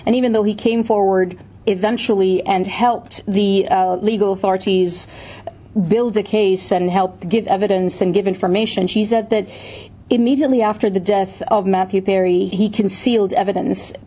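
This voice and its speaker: Dark-voiced woman news correspondent. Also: mid-range voice, fairly even intonation, normal speed. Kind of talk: news reporting.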